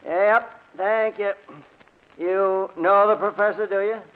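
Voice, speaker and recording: Folksy rural sheriff, tinny old radio. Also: male voice, high-pitched, fairly even intonation, slow pace, smooth. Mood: folksy, helpful. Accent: rural American. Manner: folksy, drawling, plain-spoken. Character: folksy small-town sheriff passing on local gossip. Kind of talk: 1950s radio drama acting. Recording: tinny, band-limited old radio recording.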